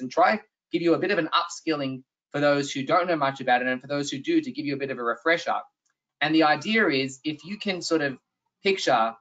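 A man's voice: a little high, a bit bright, far from the mic. A man with an Australian accent presenting a tax webinar over a video call, earnest and explanatory, with frequent "uh"s and restarts. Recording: slightly dull video-call audio.

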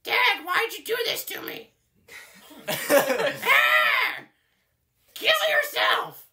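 A cranky cartoon hawk boss, grumbling and dramatic in a comedy game dub. The voice is piercingly bright and creaky, leaping wildly in pitch. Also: male voice, wildly swooping pitch, normal speed, heavily acted. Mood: outraged. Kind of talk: improvised comedic game fandub.

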